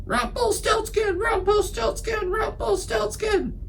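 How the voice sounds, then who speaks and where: A man voicing a pushy, high-pitched little man in a picture-book read-aloud, squeaky and wheedling. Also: fairly even intonation, slow pace, creaky, fairly bright tone, recorded from a distance, a little hiss or hum, exaggerated voice. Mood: screaming.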